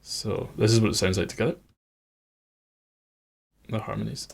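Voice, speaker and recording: A man's voice: lowish voice, fairly even intonation, normal speed, creaky. A man working as a music producer, giving an informal, conversational tutorial on his production methods.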